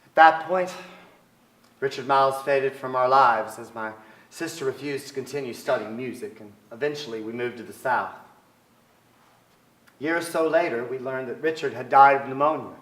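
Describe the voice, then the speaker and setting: A man's voice: medium pitch, moderate pace, a bit bright, close to an everyday voice. An actor playing a wistful man recalling his boyhood in theatrical literary narration. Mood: somber. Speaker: stage actor in a staged reading. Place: theatre stage. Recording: echoey theatre hall. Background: violin music.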